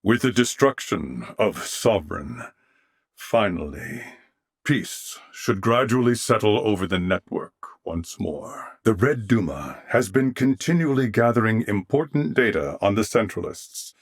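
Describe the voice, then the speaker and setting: A man's voice: on the low side, animated, unhurried, no echo, clean studio sound. A man voicing a noble, solemn alien warrior in a video game, speaking formally and courteously. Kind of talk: video game character voice acting.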